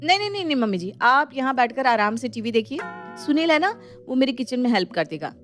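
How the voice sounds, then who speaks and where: A woman puts on a sensible young daughter-in-law in a cartoon family tale. Her voice is high and lively as she reasons and protests, with music behind. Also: fast talker. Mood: brisk, teasing. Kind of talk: animated Hindi moral story acting.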